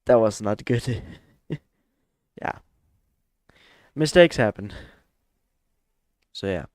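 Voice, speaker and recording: A man's voice: fairly low pitch, lively intonation, drawn-out words, a little mellow, no echo, clean studio sound. A man from Denmark, chatting hesitantly with many fillers while streaming a flight simulator. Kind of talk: live stream gameplay chat.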